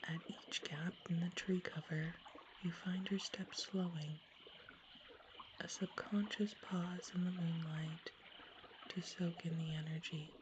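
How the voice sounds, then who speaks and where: A woman whispers a bedtime sleep story in a low, breathy hush. Her delivery is very even and slow, made to soothe, with steady ambient noise behind her. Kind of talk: soft-spoken whispered ASMR sleep story narration.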